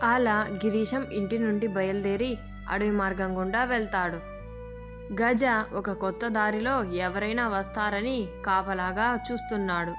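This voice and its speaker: A woman's voice: mid-range voice, steady tone, unhurried. Female storyteller over music. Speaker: narrator. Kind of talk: scripted story narration.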